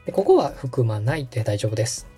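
Husky, lively man giving patient instructions. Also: medium pitch, fast, soft background music. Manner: patient, polite explaining. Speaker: host and radio director guiding a setup. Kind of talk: conversational step-by-step tutorial.

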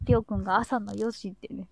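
Woman, livestream host, creaky voice, dry sound, teasing banter. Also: medium pitch, steady tone, fast, no echo. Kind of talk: casual chat on an audio livestream.

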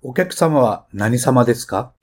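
A man's voice: mid-range voice, animated, unhurried, soft background music, natural voice. A man playing a dramatic trailer announcer, delivering a title call.

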